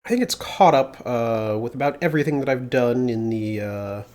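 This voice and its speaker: A man with a slightly congested voice talks his way through work on a live stream. He speaks casually at a middle pitch, pausing often with fillers as he thinks aloud into a mounted desk mic. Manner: thinking aloud, relaxed, many filler pauses. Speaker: livestreamer doing security research. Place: home desk with mechanical keyboard. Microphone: mounted desk studio mic.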